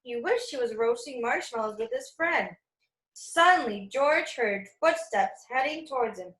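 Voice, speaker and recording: A woman reading a children's picture book aloud as a storyteller, expressive and teacherly, with asides to young listeners. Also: on the high side, unhurried. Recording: echoey room.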